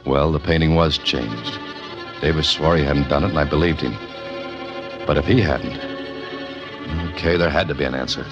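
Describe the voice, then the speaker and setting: An actor playing a cool, wisecracking amateur sleuth in a 1940s radio mystery, with a deadpan, clipped delivery. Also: male voice, rumbling low, moderate pace, pauses often. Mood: cool, pensive. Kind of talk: 1940s radio mystery acting, first-person narration. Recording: muffled old radio recording with music.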